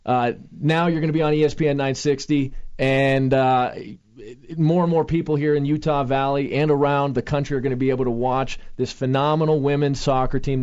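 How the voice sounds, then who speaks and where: A man's voice: medium pitch, darkish tone, clear, resonant tone. A man hosting a sports radio show, talking energetically with many fillers and restarts. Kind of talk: casual sports radio talk. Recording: lo-fi radio studio sound.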